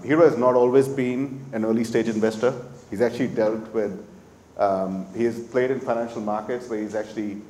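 A man hosting an interview who introduces his guest in a measured way, with some fillers. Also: medium pitch, warm tone. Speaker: interviewer host. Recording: echoey room with faint noise.

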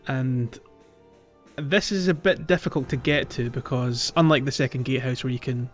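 A male podcast host talks through a game in a casual, explanatory way. His voice is mid-pitched and sounds slightly dull and lo-fi, with music clearly playing behind him. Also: normal speed. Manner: conversational, explanatory. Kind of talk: casual chat, game playthrough commentary.

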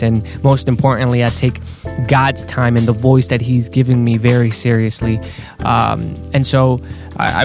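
A man introducing his podcast episode in an earnest, unhurried way, stumbling now and then over fillers and restarts. His voice sits at a middle pitch. Clear guitar music plays underneath him throughout. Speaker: podcast host.

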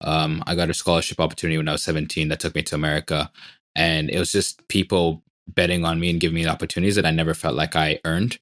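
A man in his thirties from Tanzania, a startup founder talking quickly and eagerly in an interview, full of "like" and "you know". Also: rumbling low, little pitch movement, a bit bright, no echo, clean studio sound. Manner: fast, filler-heavy, enthusiastic. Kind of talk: podcast interview.

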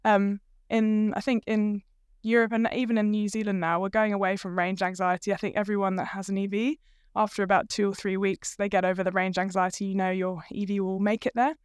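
Chatty british woman, smooth voice. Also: mid-range voice, flat delivery, a little fast, dry recording, clean studio sound. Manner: chatty, many fillers and hedges. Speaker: guest, company co-founder. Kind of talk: casual interview talk.

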